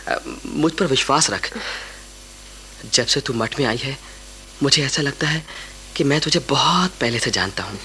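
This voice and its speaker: A man's voice: mid-range voice, animated, unhurried, pauses often, pushed and bright, slight background noise, poor audio. An actor playing an ardent, reassuring young man from a monastery in a Hindi radio play. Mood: coaxing, tender. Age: young adult.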